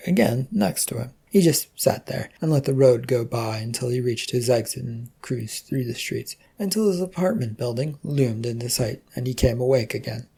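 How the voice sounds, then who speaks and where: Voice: mid-range voice, creaky, warm tone, husky. A narrator reading scripted fiction as a storyteller.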